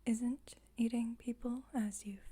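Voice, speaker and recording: A woman playing a composed, formal vampire coven leader in an ASMR roleplay, whispering softly in a measured, instructive, gently wry way. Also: a little high, fairly even intonation. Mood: wryly instructive. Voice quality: breathy, whispered, soft.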